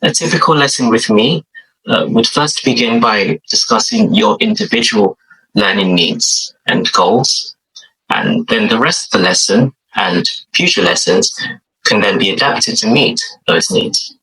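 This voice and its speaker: Bright, husky London man introducing himself. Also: on the low side, lively intonation, unhurried, low-quality recording. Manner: friendly, with frequent uh fillers. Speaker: online English tutor. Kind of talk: scripted intro video.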